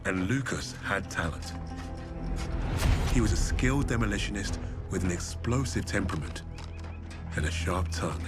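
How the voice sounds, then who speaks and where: An actor narrating a war story as a dry, clipped soldier. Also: male voice, sits low, expressive, normal speed, pauses often, over loud music. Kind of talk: scripted game narration.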